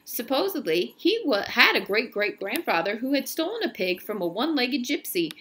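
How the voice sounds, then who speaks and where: A woman reading a children's novel aloud in an expressive storytelling style, now and then stumbling and correcting herself. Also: on the high side, moderate pace, slight background noise.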